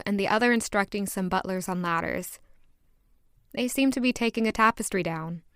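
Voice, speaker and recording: An actress playing a proud, wistful young castle maid, narrating reflectively in the first person for an audio drama. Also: female voice, mid-range voice, clean tone, dry recording, close to an everyday voice. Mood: musing. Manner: reflective, descriptive.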